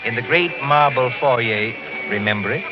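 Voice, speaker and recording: A mysterious old-radio storyteller, played by a man narrates in a theatrical, portentous manner. His mid-pitched voice sits on a muffled broadcast with clear music behind it. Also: lively intonation, moderate pace, natural voice. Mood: evocative, nostalgic. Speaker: host and narrator of a suspense radio series. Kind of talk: 1940s scripted radio narration.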